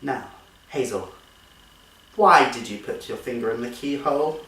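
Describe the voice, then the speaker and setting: A man's voice: mid-range voice, unhurried, pushed and bright. Man playing a genteel, exasperated nursery teacher, echoey and distant. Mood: strained patience. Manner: polite, singsong coaxing with clipped scolding. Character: genteel, strained-cheerful female nursery teacher, patient but exasperated. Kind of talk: theatrical comic monologue. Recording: echoey room, distant microphone.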